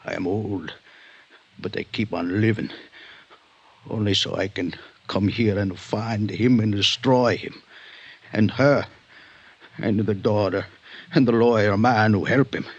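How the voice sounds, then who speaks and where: An actor playing a vengeful, stubborn old Italian patriarch in a 1950s radio drama, speaking halting, brooding, accented English. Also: male voice, fairly low pitch, creaky, slightly rough, heavily acted. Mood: cold, vengeful. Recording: dull vintage 1950s radio recording.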